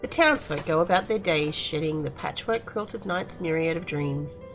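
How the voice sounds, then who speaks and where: A woman's voice: low voice, moderate pace, soft background music, poor audio. A woman hosting a casual game review, talking in a chatty, appreciative way.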